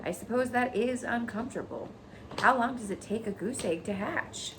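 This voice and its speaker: A woman's voice: on the high side, moderate pace, background noise, pitched above the performer's own voice, lightly acted. A woman voicing a young male pig who is earnest, polite and formal in a storybook reading. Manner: formal, emphatic announcing.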